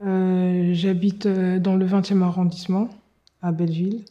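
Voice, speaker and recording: A woman's voice: lowish voice, little pitch movement, moderate pace, mellow. A woman in her twenties from Paris, speaking hesitantly with many fillers in a documentary interview. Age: 20s. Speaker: trainee interviewee. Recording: muffled recording.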